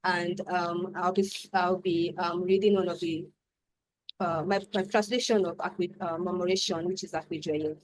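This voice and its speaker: A Nigerian poet and translator, a woman whose first language is Yoruba, introducing herself softly and hesitantly with many ums on a video call. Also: on the low side, steady tone, moderate pace, no room sound, low-quality recording. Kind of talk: introducing herself and reading her translated poem.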